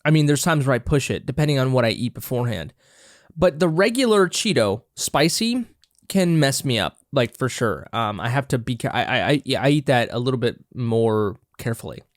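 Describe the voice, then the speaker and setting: Congested male podcast host, mid pitch, rambling casual chat, dry close mic. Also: expressive, a little fast, dry recording. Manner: rambling, hesitant, many restarts and fillers. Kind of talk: casual solo chat while snacking. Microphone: own camera and mic, close and dry.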